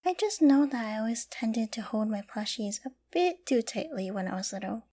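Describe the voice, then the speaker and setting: Woman playing a sweet doting girlfriend for asmr roleplay, soft mellow tone, kinda high, cooing and teasy. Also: lively intonation, normal speed. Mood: cozy, gentle. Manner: tender, cooing, gently teasing. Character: doting, affectionate young girlfriend caring for her sick partner.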